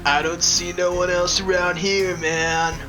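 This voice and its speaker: Dude acting a stoner street dealer, drawly and slangy, kinda high voice, unhurried, music under it. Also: male voice, little pitch movement. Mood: laid-back, pushy. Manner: drawling slang, mocking, says dude constantly. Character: laid-back stoner male street drug dealer, mouthy slacker. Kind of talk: audio drama acting. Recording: music behind the voice.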